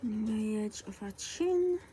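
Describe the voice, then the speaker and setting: A woman, talking softly through a colour-along tutorial and often dropping to a whisper. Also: medium pitch, animated, takes it slowly, slight background noise. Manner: soft, rambling, often drops to a whisper. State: croaky voice.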